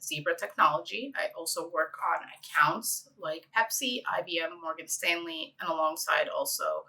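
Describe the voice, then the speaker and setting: A woman's voice: deep voice, normal speed, vocal fry, sharp tone, husky, low-quality recording. A middle-aged woman from Egypt, an interior designer presenting a conference session in a conversational way with frequent fillers.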